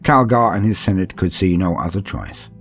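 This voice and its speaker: Deep-voiced man reading calmly. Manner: calm, steady, measured reading. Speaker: narrator reading a campaign book. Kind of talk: scripted narration, audiobook-style reading. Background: faint background music.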